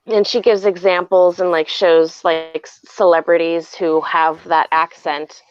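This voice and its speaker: Woman guest, slightly low voice, chatty and meandering, muffled dry call audio. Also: level tone, a little slow, clean tone, no echo. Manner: chatty, rambling with fillers. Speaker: guest on livestream. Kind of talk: casual video call chat. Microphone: video call.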